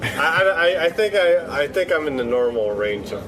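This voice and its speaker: A man giving casual, halting interview answers with a self-deprecating manner. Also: on the high side, lively intonation, over music, poor audio.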